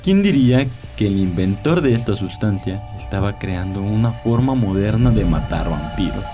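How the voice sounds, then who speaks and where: Prominent music runs behind a low male voice. A man acts out an elderly, cunning vampire posing as a physician in an audio story. He speaks with measured, courteous wisdom and cold, quiet menace. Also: animated, lightly acted. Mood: cold, philosophical. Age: older. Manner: courteous, measured, wise-sounding, coldly menacing. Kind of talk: dramatized audio story acting.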